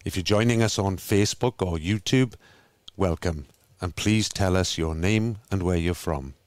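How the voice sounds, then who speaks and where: Deep-voiced Welsh man hosting. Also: normal speed, no room sound. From Wales. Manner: steady, scripted welcome with small slips. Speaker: host. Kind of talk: welcoming a video call group. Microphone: video call.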